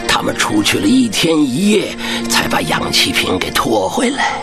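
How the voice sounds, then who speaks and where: An actor playing an old African village chief and doting grandfather in an earnest, expressive radio drama. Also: mid-range voice, moderate pace, over music. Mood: tender, reminiscing. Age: older.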